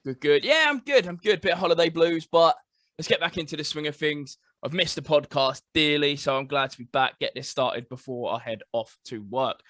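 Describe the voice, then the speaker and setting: A man's voice: fairly high pitch, expressive, a little fast, fairly bright tone, no echo, studio quality. A man from England hosting a casual esports podcast, upbeat and leading the chat with short reactions. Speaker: podcast host.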